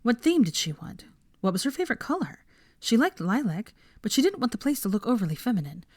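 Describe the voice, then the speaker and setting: A woman's voice: on the high side, big pitch swings, brisk, darkish tone, no echo, clean studio sound. A woman narrating a story as an audiobook reader, from a script.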